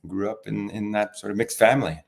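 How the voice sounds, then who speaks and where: Low-voiced Canadian man telling his story. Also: steady tone, a little fast, creaky, a little hiss or hum. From Toronto, Canada. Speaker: interview guest, wine expert. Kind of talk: relaxed conversational storytelling.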